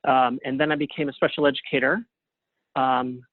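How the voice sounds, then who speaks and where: A man's voice: medium pitch, flat delivery, normal speed, no room sound. A middle-aged man talking casually as an interview guest, a playwright with a self-deprecating, anecdotal way of talking. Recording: narrow phone-like line.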